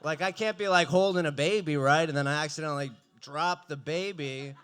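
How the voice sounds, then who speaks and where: A young man doing a stand-up comedy set, with a dry, deadpan delivery that breaks into laughs. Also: a little high, a little fast, clear, resonant tone.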